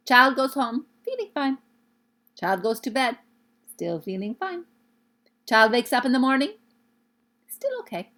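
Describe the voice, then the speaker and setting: A woman voicing an imperious, child-hating Grand High Witch for a children's storybook, commanding and emphatic. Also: sing-song, unhurried, pauses often, a bit bright, heavily acted. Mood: gleefully scheming.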